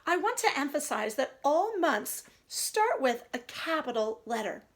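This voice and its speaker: Female early-years teacher, high-pitched and very animated, reading aloud and explaining simply. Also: measured pace. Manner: expressive, clearly explaining. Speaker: teacher of young children. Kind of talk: read-aloud storytelling and simple instructions for children.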